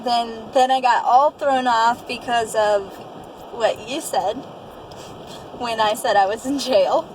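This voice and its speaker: A woman's voice: fairly high pitch, fairly even intonation, slow pace, background noise. A young adult woman telling her own story casually and candidly, in colloquial speech with quoted dialogue.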